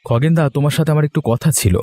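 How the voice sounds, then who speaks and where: A man's voice: fairly high pitch, fast, dark tone, dry recording, natural voice. A man playing an honest, aggrieved young villager in a cartoon story, earnest and complaining. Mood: pleading. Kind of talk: dramatic cartoon story dialogue.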